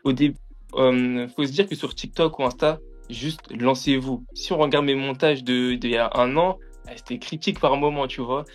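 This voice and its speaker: A man's voice: mid-range voice, steady tone, moderate pace. Young man chatting casually, music behind. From France, of Antillean background. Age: young adult. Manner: informal, peppers talk with tag phrases. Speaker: podcast guest, music media content creator. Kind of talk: casual chat, slangy.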